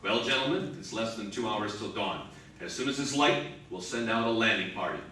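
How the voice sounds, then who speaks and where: A man's voice: on the low side, lively intonation, brisk, bright, forceful tone. A man acting as a stern, authoritative captain of a naval spaceship in a science fiction radio drama. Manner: authoritative, clipped orders. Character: stern commanding male captain of a naval rocket ship. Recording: very echoey room, distant mic, faint noise.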